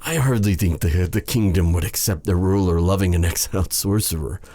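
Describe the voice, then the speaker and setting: A man playing a lovestruck, self-doubting exiled dark sorcerer in an intimate fantasy audio roleplay, breathy, halting and formal in his phrasing. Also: deep voice. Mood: hesitant, torn. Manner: flustered, stammering, halting, formal old-fashioned phrasing.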